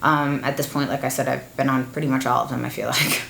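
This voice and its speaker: Low, monotone woman in an echoey room. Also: fast talker, creaky, slight background noise. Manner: monotone, blunt and frank, with fillers. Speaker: beauty YouTuber. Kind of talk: sit-down life update to camera. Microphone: camera with ring light.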